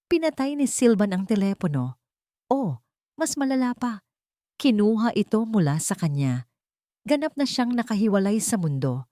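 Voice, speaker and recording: A woman with a Filipino accent reading a dramatic romance story in a measured, solemn way. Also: fairly low pitch, darkish tone, no echo, studio quality. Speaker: story narrator.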